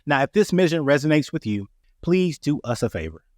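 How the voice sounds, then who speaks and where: A man hosts a podcast, his voice raspy as he gets over a cold. He explains things earnestly and keeps checking in with "right?" His voice sits a little high and sounds dry and close to the mic. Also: sing-song, a little fast, no echo. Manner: earnest, repeats "right?" and "that being said". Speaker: podcast host. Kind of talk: casual instructional podcast talk.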